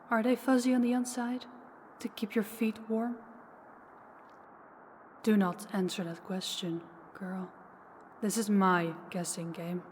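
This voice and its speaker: A woman playing an ancient, powerful vampire in an audio roleplay, slow, deliberate and condescending, with calm menace toward the listener. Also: mid-range voice, natural voice. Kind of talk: intimate scripted audio roleplay addressed to listener. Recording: long hall reverb, faint background noise.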